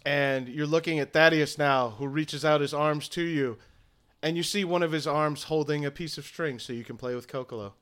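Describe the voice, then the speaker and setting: Guy running the game, kinda high voice, loose rambling narration. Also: normal speed, a bit bright, clean tone. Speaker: game master.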